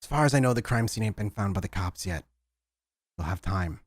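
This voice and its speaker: Guy doing a worn-out rpg npc, subdued n clipped, lowish, very dry recording. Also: animated, a little fast, no room sound. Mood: grave, subdued. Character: weary, hurried, grateful male client, Mister Larson. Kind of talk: tabletop roleplay in character.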